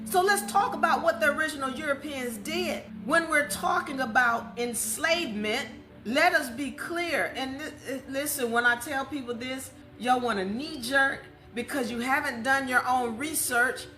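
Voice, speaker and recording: A woman's voice: sits high, unhurried, bright, forceful tone. A woman giving an impassioned, informal history lecture in an emphatic, didactic way, often asking rhetorical questions. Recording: music under the voice. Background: music.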